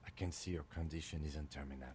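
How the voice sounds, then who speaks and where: A male actor voicing a calm, stern police officer in a game, dry and measured. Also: rumbling low, slightly rough, no echo, exaggerated voice. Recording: game music behind.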